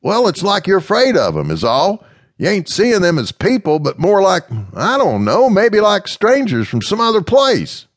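A man voicing a kindly, plain-spoken rural man with a folksy, gently blunt way of talking. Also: high voice, wildly swooping pitch, normal speed, no room sound, studio quality, voice pushed up, full character voice. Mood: coaxing.

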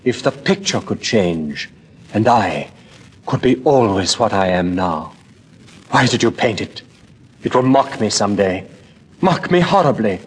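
A man's voice: fairly low pitch, expressive, measured pace, a little hiss or hum. A man voicing a vain, emotional young man in a storybook reading, speaking in an impassioned, pleading way. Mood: anguished.

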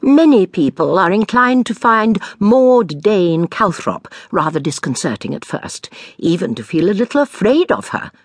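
An actress playing a shrewd elderly English amateur sleuth in an audio drama, measured, precise and gently probing. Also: female voice, medium pitch, very animated, no room sound, studio quality. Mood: wry, measured. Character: shrewd elderly amateur sleuth Jane, calmly inquisitive narrator. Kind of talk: dramatised audiobook acting and narration.

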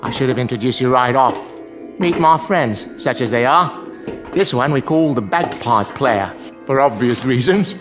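An actor playing a sardonic clown in a radio drama, blithely resigned, with an arch, florid and mocking delivery. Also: male voice, medium pitch, expressive, normal speed, over music, close to an everyday voice. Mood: breezy, arch.

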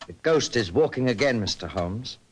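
A man's voice: medium pitch, animated, normal speed, lightly acted. An actor playing a troubled young nobleman, earnest and formal, in 1940s radio drama style. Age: young adult. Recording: faintly noisy vintage radio recording.

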